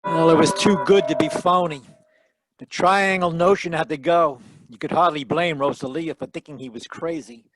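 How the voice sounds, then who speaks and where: A man's voice: on the high side, steady tone, moderate pace, over music, close to an everyday voice, low-quality recording. A man playing a wry, tough private detective in a 1940s radio style, dryly wisecracking, recorded over a video call. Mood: wry narration. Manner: wisecracking, dry. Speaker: actor narrating and playing lead detective. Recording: live video call performance.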